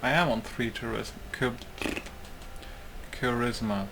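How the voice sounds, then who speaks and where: Slow-talking man doing casual game commentary. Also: mid-range voice, gravelly. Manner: informal, thinking aloud. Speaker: gamer recording a let's play. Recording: noisy mic over game ambience.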